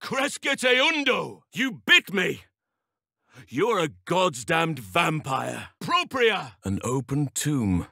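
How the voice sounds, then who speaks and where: A man voicing a stoic, earnest druid devoted to nature in a video game, speaking in measured, formal fantasy phrasing. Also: fairly high pitch, huge pitch swings, vocal fry, sharp tone, dry recording, clean studio sound, heavily acted. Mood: forceful incanting, then urgent, shouting. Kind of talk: video game character voice lines.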